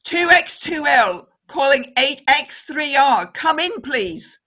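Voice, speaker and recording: A woman playing an anxious lone radio operator in a radio drama, making measured, pleading calls for a reply. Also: sits high, very animated, pushed and bright, full character voice. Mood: concerned calling. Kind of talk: radio drama acting, call-sign transmissions.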